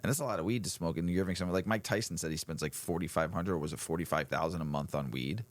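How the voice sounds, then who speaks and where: A man co-hosting a casual podcast, rambling and halting with a froggy, slightly hoarse voice. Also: low voice. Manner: rambling, halting, laughs often. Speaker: podcast co-host.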